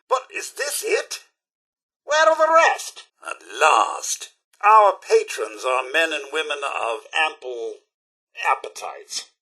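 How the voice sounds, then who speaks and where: A man's voice: a little high, sharp tone, breathy edge, full character voice. A male actor playing a prim, loyal, fussy robot butler in a video game, with posh, theatrical British diction. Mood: exasperated, haughty, then stiff, supercilious. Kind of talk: video game companion dialogue.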